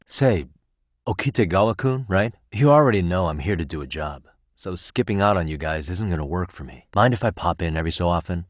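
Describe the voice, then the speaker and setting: A cool, principled investigator from a video game. The voice is very low-pitched and recorded dry and close, with no room sound. The delivery is casual and earnest, with an easygoing confidence. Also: male voice, expressive, a little fast, dry recording. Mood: friendly, casual. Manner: casual, self-assured, earnest. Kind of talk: English video game voice acting.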